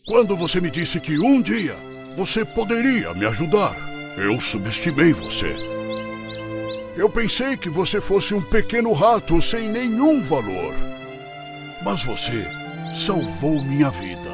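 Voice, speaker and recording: Gravelly, swooping cartoon lion king. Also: a little high, wildly swooping pitch, a little slow, over music, full character voice. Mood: grateful, noble. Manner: haughty, dramatic, emphatic. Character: proud imposing male lion, king of the jungle. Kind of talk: children's cartoon fable acting.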